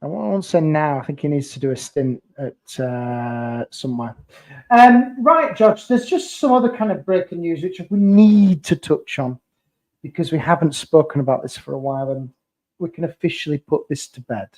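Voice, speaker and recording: A man hosts a casual football podcast. He keeps up a jokey, teasing banter with his co-host in a slightly higher voice. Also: normal speed, a little mellow, rough recording.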